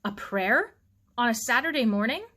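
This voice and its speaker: A woman voicing a gruff, blustery, disapproving middle-aged uncle in a story. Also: fairly high pitch, lively intonation, normal speed, sharp tone. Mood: skeptical.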